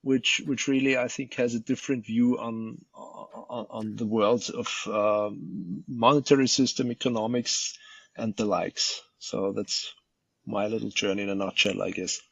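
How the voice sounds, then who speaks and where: Gravelly Austrian-accented man, halting speech. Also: fairly low pitch, flat delivery, a bit bright, no room sound, muffled recording. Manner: halting, many repeated words and fillers. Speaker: podcast guest, fund manager. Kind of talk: unscripted interview answer.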